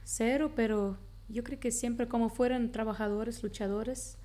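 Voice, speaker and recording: A woman in her thirties from Germany, a missionary guest answering interview questions in a slightly halting way and often ending phrases with a tag question. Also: a little high, steady tone, dark tone. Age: 30s. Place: radio studio.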